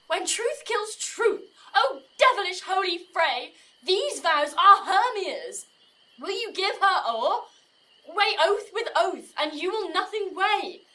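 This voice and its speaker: Very high-pitched, aggrieved young maiden. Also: female voice, animated, slow, bright, forceful tone, full character voice, poor audio. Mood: accusing. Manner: theatrical, aggrieved, declamatory. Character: young spurned maiden, indignant, wounded, self-pitying. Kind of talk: Shakespearean verse audio drama.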